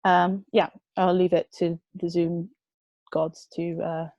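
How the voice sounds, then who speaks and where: Young woman, low mellow voice, chatty and hesitant, video call Q&A, dry sound. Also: little pitch movement, takes it slowly, dry recording, highs cut off. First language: not Dutch. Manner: chatty, laughs often, hesitant. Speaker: presenter of a food waste charity. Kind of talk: online Q&A answering.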